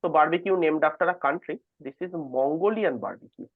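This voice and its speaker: High-voiced male quizmaster on phone-like audio. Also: fairly quick, dry recording. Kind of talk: reading quiz questions aloud to contestants. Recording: narrow phone-like call audio.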